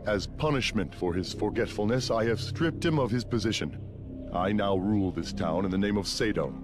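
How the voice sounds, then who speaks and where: A man as a stern, low-voiced armored commander. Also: music in the background, natural voice. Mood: stern, formal. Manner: formal, authoritative, measured. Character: stern, order-obsessed armored guardsman commander. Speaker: game character. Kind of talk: video game cutscene acting.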